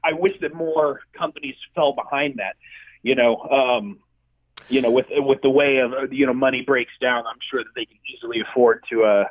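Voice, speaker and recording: A man who directs films, a guest telling stories in a casual interview, animated and swearing freely. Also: mid-range voice, moderate pace, no room sound. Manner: animated, profane storyteller. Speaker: film director and effects artist, guest. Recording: dry tinny phone-like line.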